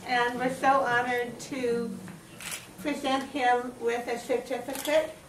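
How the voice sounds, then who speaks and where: A woman's voice: on the high side, level tone, takes it slowly, slight background noise. An older woman hosting an event and making informal announcements. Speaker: event host. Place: small meeting room, indoors. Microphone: far camera. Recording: echoey room, distant mic, loud crowd.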